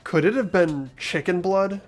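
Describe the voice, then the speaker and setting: A man's voice: sits high, a little slow. A man voicing an anxious, earnest teenage boy in fan-made visual novel game dialogue. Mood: reasoning. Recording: music behind the voice.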